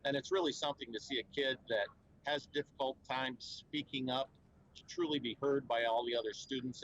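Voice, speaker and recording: A man's voice: mid-range voice, fairly bright tone, slight background noise, poor audio. A man speaking as a company spokesman at a trade show, explaining in an earnest, fluent way with frequent fillers and restarts. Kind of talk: conversational explainer interview.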